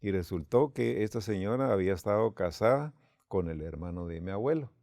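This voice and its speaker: Man, very deep voice, gravelly, dark tone, storytelling, church leader. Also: lively intonation, no room sound, studio quality. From Guatemala. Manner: unhurried storytelling with quoted dialogue. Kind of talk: telling a personal story.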